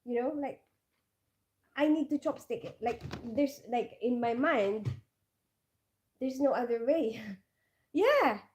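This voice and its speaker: A woman's voice: on the high side, expressive, takes it slowly, pauses often, darkish tone, a little hiss or hum. A woman chatting casually to viewers, full of fillers and asides. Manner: chatty, many fillers, reads out comments. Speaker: vlogger. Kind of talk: casual live chat with viewers.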